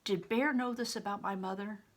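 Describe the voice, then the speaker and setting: A woman's voice: medium pitch, normal speed, natural voice. A woman voicing a troubled, bitter thirteen-year-old orphan boy in a storybook, with plain, questioning delivery. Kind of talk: audiobook character reading.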